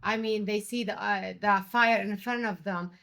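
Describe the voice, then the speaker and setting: A middle-aged woman from Jordan, a restaurant owner and chef, speaking English as an in an animated, conversational interview. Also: medium pitch, normal speed. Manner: animated, quotes others with raised voice.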